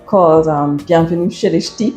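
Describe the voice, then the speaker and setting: A Trinidadian woman in her thirties, a guest telling a story in a casual interview, animated and laughing often. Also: deep voice, moderate pace, echoey room, faint music behind. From Trinidad and Tobago.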